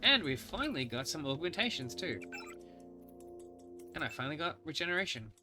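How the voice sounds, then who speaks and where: A man's voice: on the high side, sing-song, a little fast. A man with a cold and a slightly nasal voice, rambling through casual gameplay commentary with many fillers. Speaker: gaming host. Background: game music.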